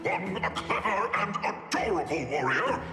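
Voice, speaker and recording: Theatrical, boastful male gossip, high-pitched. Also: lively intonation, unhurried. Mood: grand, welcoming. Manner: grandiose, showy, proclaiming. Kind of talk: game character voice acting. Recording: long reverb, music behind. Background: music.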